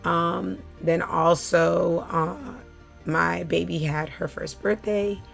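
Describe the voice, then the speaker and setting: A woman, talking casually to her viewers in a hoarse, scratchy voice, with plenty of fillers. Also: on the low side, fairly even intonation, slow, a bit bright, faint music behind. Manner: chatty, with frequent fillers. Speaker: vlogger. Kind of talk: casual chat.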